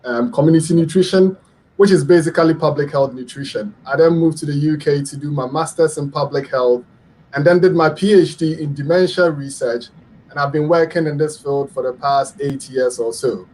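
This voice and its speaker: A middle-aged man from Ghana speaks as a guest expert on a radio health talk. His voice sits a little high for a man, and he explains things earnestly, with many ums and restarts. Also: moderate pace, a little mellow, a little hiss or hum, low-quality recording.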